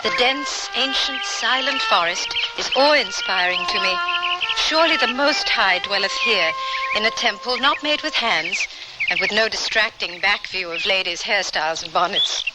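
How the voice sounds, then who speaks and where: A woman's voice: medium pitch, normal speed, loud music behind the voice, lightly acted. An actress playing a prim, strong-willed, pious lady adventurer with formal, genteel speech in an old radio drama. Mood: reverent. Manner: formal, genteel, precise phrasing. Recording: noisy old radio recording.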